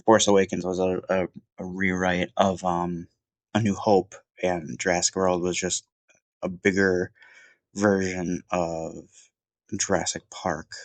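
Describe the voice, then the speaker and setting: A man's voice: deep voice, no echo. A man with a cold, sounding sniffly, giving a casual off-the-cuff film review at home, rambling with lots of "um"s and restarts. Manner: rambling, many fillers and restarts.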